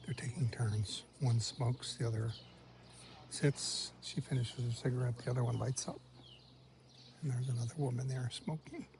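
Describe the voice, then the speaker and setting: A man's voice: medium pitch, steady tone, normal speed, vocal fry, noisy background. A man vlogging his trip outdoors in a village, chatting casually and muttering asides, sometimes in a whisper. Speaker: travel vlogger. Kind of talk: casual walking vlog commentary. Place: outdoors in a village street and on a café terrace.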